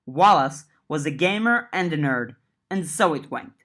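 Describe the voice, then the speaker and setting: A woman narrating an audiobook of a fiction serial, lifting her voice for dialogue and settling lower for narration. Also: animated, a little slow. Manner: reads dialogue with lifted pitch, narration lower and softer. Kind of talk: audiobook reading of a web serial.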